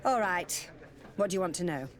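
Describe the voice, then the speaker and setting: A woman's voice: on the low side, expressive, moderate pace, lightly acted. A woman playing a sweet-talking tavern courtesan in a video game, coy, familiar and teasing. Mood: coy, confiding. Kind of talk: video game dialogue acting.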